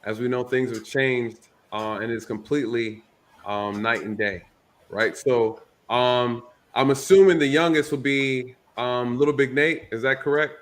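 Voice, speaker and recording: A man's voice: mid-range voice, unhurried. Easygoing man in his thirties moderating. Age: 30s. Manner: loose, joking, many fillers. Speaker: panel moderator. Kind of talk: casual panel discussion.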